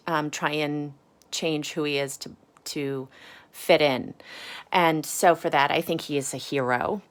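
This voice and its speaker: A woman reading a children's storybook aloud with casual asides, in deliberate phrases with emphasis on key words. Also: low voice. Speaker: storybook reader.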